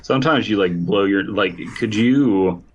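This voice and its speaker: A man with a lowish voice co-hosts a comedy podcast. He banters casually in a jokey, mock-serious way. The recording has faint noise and some clicks. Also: unhurried, dull audio. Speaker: podcast co-host.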